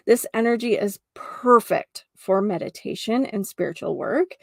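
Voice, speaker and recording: A woman's voice: medium pitch, gravelly, no echo, studio quality. A woman hosting an informal spiritual teaching podcast, explaining earnestly with emphatic repetition, partly reading from notes. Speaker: podcast host. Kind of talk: informal spiritual lesson, part read from notes.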